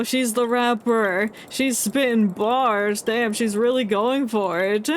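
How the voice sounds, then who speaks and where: A woman's voice: a little high, slight background noise. A woman streaming a video game with casual, reactive commentary and playful, mock-earnest asides.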